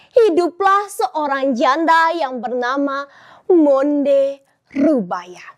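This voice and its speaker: A teenage girl narrates a folktale for contest judges with a very high, light voice. She takes her time, drawing out words and letting pauses hang. Her telling is expressive and dramatic. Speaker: student storyteller. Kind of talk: contest storytelling, addressing judges and audience.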